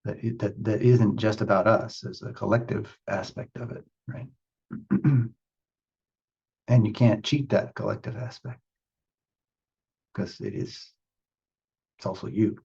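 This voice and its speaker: A man giving reflective spiritual teaching as a meditation teacher, speaking in halting, deliberate phrases with pauses for emphasis. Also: fairly low pitch, fairly even intonation, vocal fry, mellow, slightly rough, lo-fi sound.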